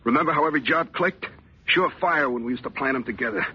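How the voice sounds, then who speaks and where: An actor playing an uneasy, needy thief in 1940s radio drama style. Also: male voice, medium pitch, fast talker, sharp tone, husky, exaggerated voice. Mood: uneasy bravado. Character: shaken male thief, uneasy and needy with his lover. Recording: muffled noisy old radio recording.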